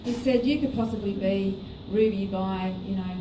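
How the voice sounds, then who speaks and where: A middle-aged woman tells her story earnestly, pausing on "um"s as she goes. Her voice sits back from the mic in a roomy, echoing space with steady background noise. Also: mid-range voice. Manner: earnest, hesitant, with many fillers. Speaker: business presenter. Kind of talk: personal testimony at a meeting. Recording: echoey room, distant mic, noisy.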